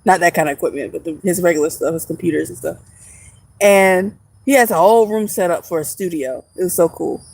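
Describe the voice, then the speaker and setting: A woman's voice: fairly low pitch, brisk, creaky, darkish tone, slightly rough, no echo. A woman in her mid-thirties chatting casually on a livestream from outdoors in the woods, laughing often and teasing her viewers. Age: 30s. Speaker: vlogger.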